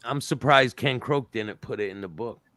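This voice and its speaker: A man's voice: mid-range voice, moderate pace, sharp tone, no room sound. Joking man hosting, dry close sound. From Connecticut, United States. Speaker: host. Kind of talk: casual live chat.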